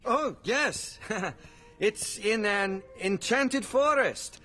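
An actor playing a big, proud, quick-tempered ogre with a Scottish accent in an animated film. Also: male voice, high voice, faint music behind, full character voice. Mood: awkward, polite. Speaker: son-in-law at a family dinner.

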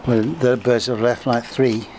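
Older gardener talking to camera, casual storyteller, mid pitch, roomy sound. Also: male voice, flat delivery, a little slow, background noise. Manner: chatty, storytelling, gesturing with his hands. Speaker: gardening vlogger. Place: home conservatory, indoors.

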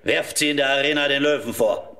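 An actor playing a cruel, commanding Roman proconsul in an audio drama, barking harsh orders. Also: male voice, medium pitch, a little fast, far from the mic, heavy background noise, natural voice. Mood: stern, commanding. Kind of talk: German audio drama acting.

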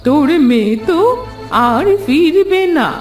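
A woman voicing a vengeful, ancient female pond spirit in a cartoon fairy tale, speaking with slow menace and accusation. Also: high voice, animated, a little mellow, roomy sound, far from the mic, soft background music. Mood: cold, commanding. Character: vengeful two-hundred-year-old female pond spirit.

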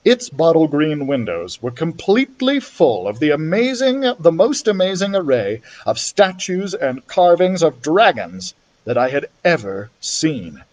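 A man's voice: on the high side, big pitch swings, unhurried, a little mellow. A man reading a children's story aloud expressively, as a library storyteller would.

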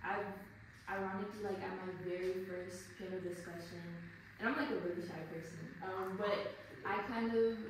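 A woman's voice: mid-range voice, fairly even intonation, normal speed. A young woman speaking as a panelist in a panel discussion, talking candidly and casually with many fillers. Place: art gallery. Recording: echoey gallery from far off with noise.

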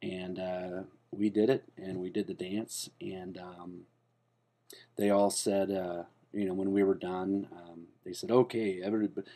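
A rambling, self-important man, played by a man, stumbling through his points in a slow, drawn-out way. His voice sits low with a mellow, dark tone. He delivers every absurd claim with deadpan earnestness. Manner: hesitant and rambling, full of fillers and restarts. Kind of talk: comedic spoof monologue to camera.

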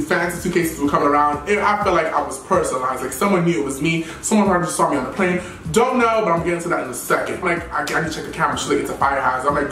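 Animated, sassy young man telling a story. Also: on the high side. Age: 20s. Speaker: YouTuber. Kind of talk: storytime vlog. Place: indoor room with plain wall and plant. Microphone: camera at a distance, chest-up framing. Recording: roomy, somewhat distant sound.

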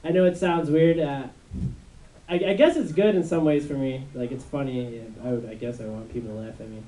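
A young man with a high, unmasculine voice doing a rambling stand-up set on a club stage, with many fillers and restarts. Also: fairly even intonation, a little fast, dark tone. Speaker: stand-up comedian. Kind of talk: stand-up routine. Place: dark club stage before a live audience. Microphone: handheld mic on a stand. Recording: echoey room, mic from far off.

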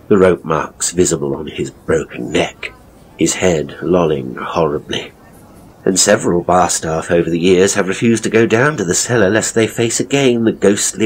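A man's voice: deep voice, husky, full character voice, low-quality recording. A man playing a hapless, seedy ghost tour guide in an audio horror story, with a British accent and a pompous, florid, leering manner.

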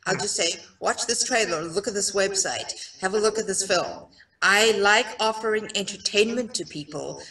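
A middle-aged actress with a South African accent, chatting on a video call in a bright room, with a relaxed, self-deprecating manner full of ums. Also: female voice, mid-range voice, normal speed. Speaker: actress, interview guest. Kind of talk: casual video-call interview. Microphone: headset on a video call. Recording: roomy, distant-sounding call audio.

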